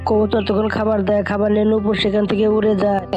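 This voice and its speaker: A woman's voice: mid-range voice, completely flat delivery, fast, over music. A woman narrating a scripted children's story as the storyteller.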